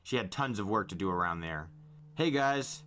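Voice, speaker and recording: A man narrating a first-person novel aloud in an expressive storytelling way. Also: deep voice, quick pace, a little hiss or hum. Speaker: podcast host reading a novel aloud. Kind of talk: first-person audiobook-style narration with acted dialogue.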